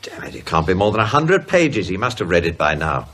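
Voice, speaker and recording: A man playing an impatient, exasperated character in a radio drama, speaking in a restless, sarcastic, husky voice. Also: sits low, very animated, a little fast, fairly bright tone, full character voice. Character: impatient, exasperated man waiting on a scholar's findings.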